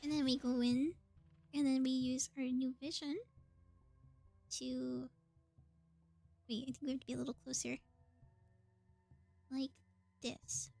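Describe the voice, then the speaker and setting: A woman with a high-pitched voice talks through a video game on a live stream. She is chatty and informal, thinking aloud in a casual commentary style. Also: little pitch movement, measured pace, gravelly, pauses often, warm tone, soft background music.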